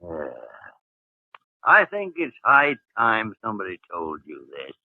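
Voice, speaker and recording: An actor playing a gruff, fatherly senior doctor who scolds bluntly in a 1950s radio drama. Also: fairly low pitch, wildly swooping pitch, slow pace, dry recording, full character voice. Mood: scolding. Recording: tinny old radio recording.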